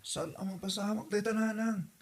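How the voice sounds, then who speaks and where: A woman's voice: medium pitch, fairly even intonation, normal speed, darkish tone, close to an everyday voice. A woman with an Ilocano accent playing a lovestruck grown son who pleads with his mother in a comedy drama. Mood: pleading.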